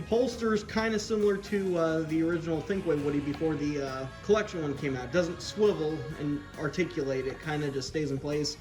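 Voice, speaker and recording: A man giving a casual hands-on toy review as the host, sharing opinions in a hesitant, meandering way. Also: a little high. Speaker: toy collector reviewer hosting an unboxing video. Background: background music.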